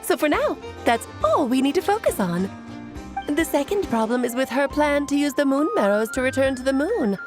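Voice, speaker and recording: A woman's voice: sits high, big pitch swings, normal speed, music in the background. An actress playing a playful, self-assured, motherly great mage in a video game, breezy and theatrical, given to lecturing. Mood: thoughtful, instructive. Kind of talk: scripted video game character dialogue.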